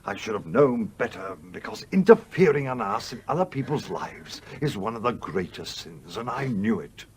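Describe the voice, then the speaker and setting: An actor playing the gruff, blunt ghost of a sea captain in a radio play, with a British accent and emphatic, old-fashioned speech over background noise. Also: male voice, lowish voice, sing-song, normal speed. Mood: remorseful. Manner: blustery, emphatic, old-fashioned turns of phrase.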